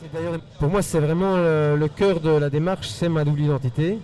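A man with a Belgian French accent whose first language is Spanish, earnestly answering interview questions in quick rushes with repeated words. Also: a little high, fairly even intonation, warm tone, far from the mic. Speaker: musician guest.

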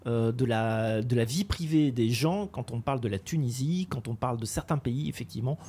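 A man's voice: mid-range voice, fairly quick, dark tone, husky. A man co-hosting a podcast, chatting casually, teasing the others and going off on digressions. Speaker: podcast co-host. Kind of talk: casual chat.

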